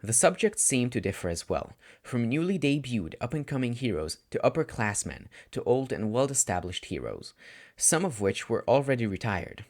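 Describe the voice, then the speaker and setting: A man's voice: mid-range voice, big pitch swings, normal speed. A man reading a scripted story as an audiobook narrator. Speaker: podfic narrator.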